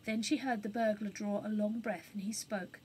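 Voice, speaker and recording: A woman storyteller giving an expressive narration of a children's story. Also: mid-range voice, moderate pace, a little hiss or hum, rough recording.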